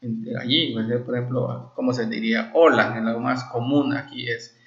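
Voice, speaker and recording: A man gives an informal language lesson in a mid-range voice. He explains examples and translations step by step, often adding short check-in questions. The recording is dull and lo-fi, with clear room echo. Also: medium pitch, steady tone, normal speed, fairly bright tone. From Valladolid, Yucatán, Mexico. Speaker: Maya language teacher.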